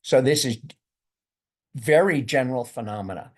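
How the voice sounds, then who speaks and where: A man's voice: mid-range voice, moderate pace, a little mellow. An older man giving a lecture in a loose, conversational way, often repeating words as he thinks. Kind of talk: university lecture with discussion.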